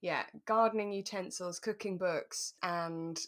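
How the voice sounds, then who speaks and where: A woman's voice: fairly low pitch, steady tone, measured pace, a bit bright. Effusive woman chatting casually as co-host. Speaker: podcast co-host. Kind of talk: relaxed casual chat about a radio drama.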